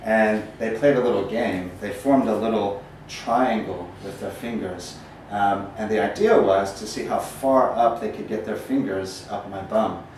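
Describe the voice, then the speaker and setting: Thirties male, American accent, casual lecturing poet, slightly low voice, distant mic with faint room noise. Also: a little slow, hardly any pauses, a little mellow, heavy reverb. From Northern Ireland. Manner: rambling, frequent ums, self-deprecating humor. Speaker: poet giving a talk. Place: small gallery room, indoors.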